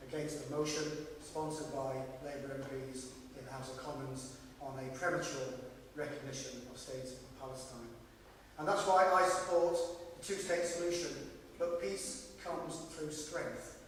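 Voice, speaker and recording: A man's voice: a little high, a little hiss or hum. A man giving a firm, emphatic answer as a candidate at a public hustings. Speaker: parliamentary candidate. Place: large echoey hall. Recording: echoey hall, mic far off.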